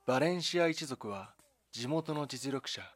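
A man delivering scripted dramatic documentary narration in a measured, solemn storytelling manner, with short deliberate phrases. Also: medium pitch, animated, dry recording. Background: background music.

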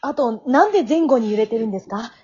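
A woman's voice: fairly high pitch, animated, fast, darkish tone, slightly rough, muffled recording. A woman acting a polite, frank young woman who makes puzzled, matter-of-fact remarks in an amateur radio drama.

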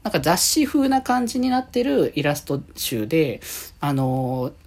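A woman hosting a podcast, chatting casually on her own in halting, trailing phrases. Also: deep voice, very animated, darkish tone. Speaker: podcast host. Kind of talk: casual solo chat about fan events.

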